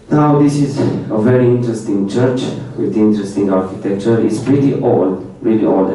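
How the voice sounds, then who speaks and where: A man's voice: fairly low pitch, mellow. Young Romanian man presenting in a hall. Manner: plain speech in non-native English with many repetitions, light laughs. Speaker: foreign student presenter. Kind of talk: informal slide presentation. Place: university lecture room. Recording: echoey lecture room, mic at a distance.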